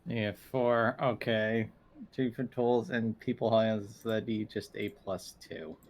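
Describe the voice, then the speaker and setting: A man's voice: lowish voice, flat delivery, slow. A man talking casually as a player in a tabletop role-playing game.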